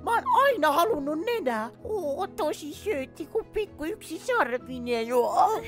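An excitable, naive little cartoon snowman, played by a woman with a high voice. He chatters in childlike exclamations that swoop wildly up and down, over faint music. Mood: excited. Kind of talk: animated film fan dub.

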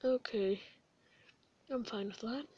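A woman voicing a laid-back, weary skeleton brother in a comic dub, with a casual, low-key delivery. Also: slow, a little mellow, husky, highs cut off. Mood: amused, relaxed.

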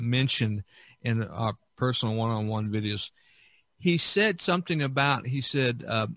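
A man's voice: mid-range voice, sharp tone, no echo. A man hosting a podcast in casual, conversational talk. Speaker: podcast host.

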